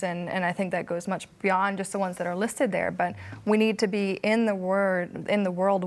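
A woman talking thoughtfully and informally as a panelist in a Bible study discussion. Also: medium pitch. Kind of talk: conversational discussion of faith.

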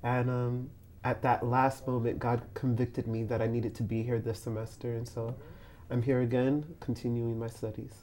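A young man, a communications student guest, speaking quietly and plainly with a few hesitations. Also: medium pitch, little pitch movement, moderate pace, a little hiss or hum. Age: young adult.

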